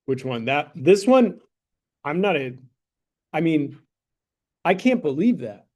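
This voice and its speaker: Joking high school math teacher. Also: male voice, big pitch swings, slow, darkish tone, highs cut off. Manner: joking, conversational, checks in with "right?" and "okay?". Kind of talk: classroom lesson with a mock sponsor plug. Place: classroom.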